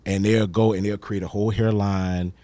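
A man's voice: lowish voice, flat delivery, normal speed, mellow, no echo. A man in his thirties, an acting coach, chatting casually and joking on a podcast. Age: 30s. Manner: laid-back, joking, says bro a lot.

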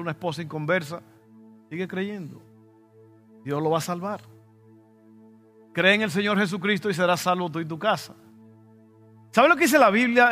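Dominican pastor guy preaching, bright forceful voice, kinda high, faint music behind. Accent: Dominican Spanish. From Dominican Republic. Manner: conversational preaching with personal stories, rising to emphatic bursts. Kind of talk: sermon.

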